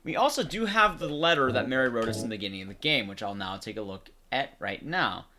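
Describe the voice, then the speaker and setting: A man's voice: mid-range voice, lively intonation, fast, bright, forceful tone. A man giving casual live gameplay commentary in a conversational way, explaining things to his audience. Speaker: streamer and commentator.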